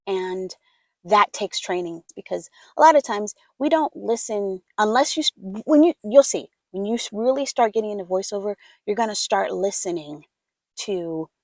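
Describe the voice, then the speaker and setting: Woman, soft-spoken voiceover coach, casual chat, mid-pitched, dead-dry booth sound. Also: vocal fry, dry recording. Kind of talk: casual instructional talk to camera.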